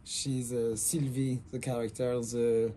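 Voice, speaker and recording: A young man with a French accent speaks in a soft, mellow tone, giving a casual showroom walk-through. His English is hesitant yet enthusiastic, and faint room noise sits behind him. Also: medium pitch, flat delivery, slow pace. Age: young adult. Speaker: fashion designer presenting a collection.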